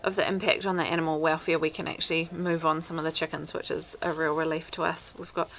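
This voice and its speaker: Kiwi woman in her 30s, low-ish gravelly voice, chatty how-to talk outside. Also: level tone, fast talker, a bit bright, a little hiss or hum, rough recording. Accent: New Zealand. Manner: chatty, practical, down-to-earth. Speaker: homesteader vlogger. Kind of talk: casual how-to talk to camera. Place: outdoors by a wooden fence. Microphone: camera at arm's length.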